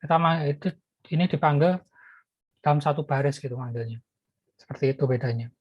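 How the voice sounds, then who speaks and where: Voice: mid-range voice, a little fast, slightly rough. A lecturer walks through code as he types it, thinking aloud and often stopping to restart a phrase. The sound is dull and close, with no room echo, as from a computer mic. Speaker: programming lecturer. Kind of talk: live coding lecture.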